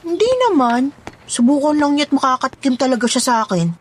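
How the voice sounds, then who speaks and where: High-pitched Filipina housemaid for audio horror drama, played by a woman: plucky, gossipy, confiding, Filipino accent. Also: a little fast, slight background noise, close to an everyday voice. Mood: indignant gossip. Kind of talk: audio story drama dialogue.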